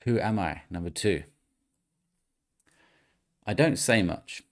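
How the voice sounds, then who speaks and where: A man's voice: low voice, expressive, takes it slowly. A man hosting a casual gaming podcast, running a quiz and reading clues aloud with easy chuckles. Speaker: podcast host.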